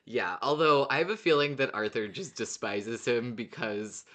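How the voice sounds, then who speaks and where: This is a podcast host in relaxed, casual fan discussion. The delivery is animated and unscripted, and swearing slips in freely. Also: mid-range voice, normal speed.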